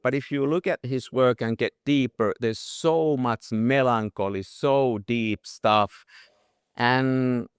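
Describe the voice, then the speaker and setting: Finnish man, interview guest, reflective and halting; resonant voice, dry close sound. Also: mid-range voice, clean tone, dry recording. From Finland. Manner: thoughtful, halting, repeats words when starting. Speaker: guest photographer. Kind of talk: casual interview conversation.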